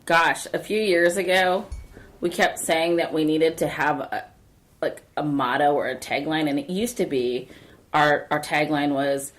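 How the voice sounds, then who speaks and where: A woman's voice: deep voice, unhurried. A woman co-hosting a casual podcast, chatty and telling a story about her workplace. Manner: chatty storyteller, animated in quotes. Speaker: podcast co-host.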